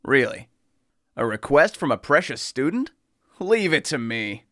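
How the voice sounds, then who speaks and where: Man doing a bright, cocky young sorcerer teacher. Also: mid-range voice, sing-song, a little slow, no room sound, studio quality, voice pushed up. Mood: playful, cocky. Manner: breezy, teasing, cocky.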